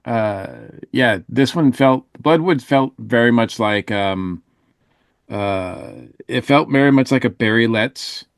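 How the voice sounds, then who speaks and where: A man co-hosting a podcast, discussing a review casually with hesitant, halting phrasing. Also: mid-range voice, smooth, dry recording, studio quality. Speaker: podcast co-host.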